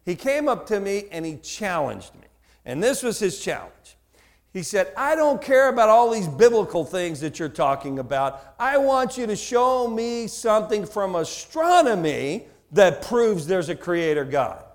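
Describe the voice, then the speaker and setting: Animated high-voiced preacher with a New Jersey accent. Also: male voice, unhurried. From United States. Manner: animated, acts out dialogue, repeats words. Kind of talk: sermon with storytelling.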